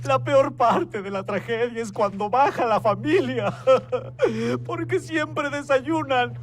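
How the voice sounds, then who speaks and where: An actor voicing a chatty, excitable egg who tells things comically in an animated film. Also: male voice, very high-pitched, slow pace. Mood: dramatic explaining. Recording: loud film music behind.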